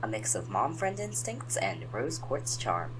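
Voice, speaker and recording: Mom-friend role, female actor: low voice, animated, coaxing and practical, audio drama over audible background hum. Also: measured pace, heavily acted. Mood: reassuring, teasing. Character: reassuring, gently teasing mom-friend, practical and organized.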